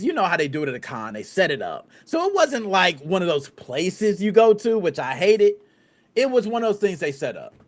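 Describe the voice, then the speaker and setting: A man from the United States hosting a podcast, chatting casually in slangy, animated speech and acting out little scenes. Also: a little high. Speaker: podcast host.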